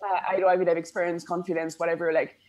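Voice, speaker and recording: A woman's voice: fairly low pitch, flat delivery, quick pace, a little mellow. A young woman from France with a French accent, a branding coach talking as a guest in a podcast interview, upbeat and full of "like" fillers. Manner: chatty, many "like" fillers, upbeat.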